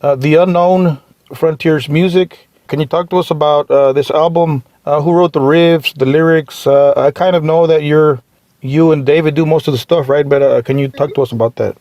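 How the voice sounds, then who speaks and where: Laid-back Texan podcast host. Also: male voice, a little high, fairly even intonation, normal speed, darkish tone, no echo. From Texas. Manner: laid-back, filler-heavy, says man a lot. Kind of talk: casual podcast interview.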